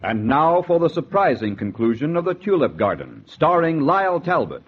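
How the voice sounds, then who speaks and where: A man's voice: a little high, very animated, moderate pace, faint music behind. A man announcing a 1940s radio mystery in a dramatic, ominous manner. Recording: very noisy, dull vintage radio recording.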